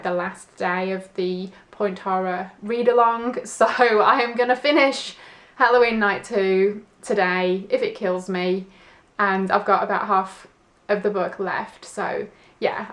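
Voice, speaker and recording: Casual, rambling woman book vlogger. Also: medium pitch. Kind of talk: casual chat to camera, reading diary and book review. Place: indoors at home.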